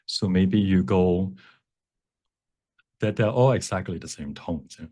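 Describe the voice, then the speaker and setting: A man with a deep, soft-edged voice leads a live workshop. He explains step by step in a halting, explanatory manner, letting the ends of phrases trail away. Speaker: workshop presenter. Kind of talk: live software workshop teaching with Q&A.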